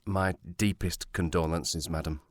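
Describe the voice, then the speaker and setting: An actor playing a polite, sympathetic police sergeant in a period audio drama, formal, hesitant and apologetic. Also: male voice, sits low, fairly even intonation, unhurried, no echo, clean studio sound. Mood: polite, sombre.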